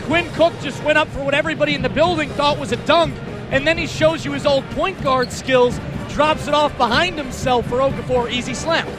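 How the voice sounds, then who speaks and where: Male basketball color analyst, strikingly high pitch, conversational live commentary with clear music behind. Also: fairly even intonation, brisk.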